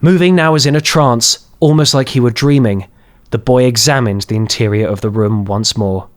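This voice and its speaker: A man's voice: medium pitch, moderate pace. A man reading scripted fantasy horror as an audiobook narrator, in a dramatic storytelling style.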